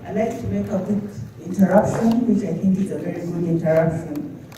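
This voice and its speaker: A man's voice: sits high, brisk, dark tone. A man moderating a trade summit, politely introducing the next speakers with frequent fillers. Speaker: event moderator. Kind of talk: conference announcement. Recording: echoey hall, distant mic, faint noise.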